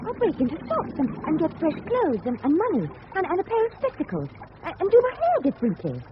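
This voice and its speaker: Casting: desperate English suburban murderess, high voice, halting melodramatic delivery, noisy vintage radio sound. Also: female voice, wildly swooping pitch, full character voice. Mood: desperate, pleading. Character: desperate, overwrought married murderess in 1930s suburbia. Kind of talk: radio drama acting. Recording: muffled, noisy old radio recording.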